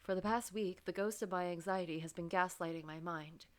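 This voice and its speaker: A woman voicing an anxious, guilt-ridden office worker who narrates a story game in a dramatic, wounded, halting way. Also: fairly low pitch, natural voice. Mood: miserable.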